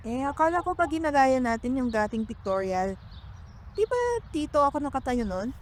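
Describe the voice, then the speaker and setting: A woman's voice: high-pitched, moderate pace, a little hiss or hum, lightly acted. A woman with a Filipino accent playing a studious, grumbling middle sister in a radio drama. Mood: grudging.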